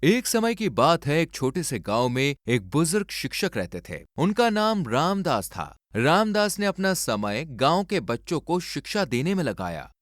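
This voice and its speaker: A man's voice: on the high side, very animated, a little slow, hardly any pauses, dry recording, clean studio sound. A man reading a scripted moral story as a storyteller.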